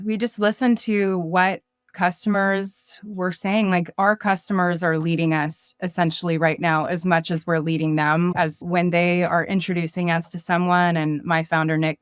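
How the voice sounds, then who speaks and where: A woman's voice: fairly low pitch, dry recording, clean studio sound. A woman speaking as a podcast guest in casual business chat, often voicing imagined quotes as she explains. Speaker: podcast guest, startup marketer.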